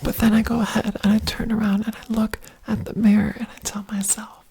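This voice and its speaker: A woman whispering in an earnest ASMR talk, breathy, with giggly asides. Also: mid-range voice, little pitch movement, fairly quick, mellow, poor audio. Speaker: ASMR creator.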